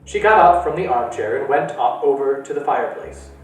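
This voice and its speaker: A man reading a novel aloud to children at a library storytime, in a steady storytelling way. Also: brisk, far from the mic. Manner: steady storytelling with lively pitch. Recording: echoey room from afar with faint music.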